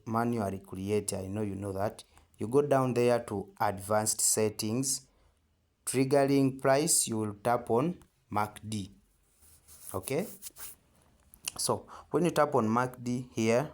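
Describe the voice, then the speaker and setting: A man's voice: mid-range voice, a little mellow. A man with a Ugandan accent giving an informal step-by-step tutorial, emphatic and repetitive, often checking in with short questions. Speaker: trading tutor.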